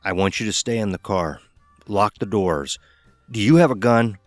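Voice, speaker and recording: A man's voice: lowish voice, moderate pace, dry recording, natural voice. A man playing an anxious, insistent man warning someone by phone in an audio horror drama. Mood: urgent. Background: music.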